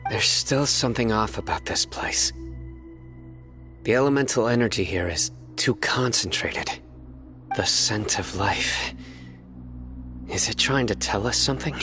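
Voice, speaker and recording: A man voicing a calm, observant young male companion. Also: on the low side, measured pace, long pauses, over music, lightly acted. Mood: wary.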